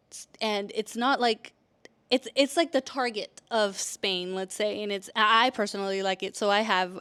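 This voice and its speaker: A woman's voice: mid-range voice, smooth. A woman in her thirties, born in El Salvador and raised in California, chatting casually to camera in a vlog, with lots of fillers and restarts. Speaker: vlogger.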